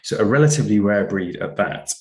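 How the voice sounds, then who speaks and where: A man hosting a podcast, introducing a guest and asking questions in a measured, slightly hesitant way. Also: lowish voice, expressive, roomy sound. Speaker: podcast host. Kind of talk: warm guest introduction and interview.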